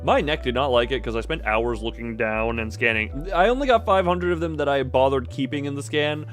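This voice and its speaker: A man's voice: mid-range voice, a little fast, a bit bright, soft background music. A man hosting a casual livestream, chatting with viewers in a jokey, playfully mock-dramatic way. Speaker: livestream host.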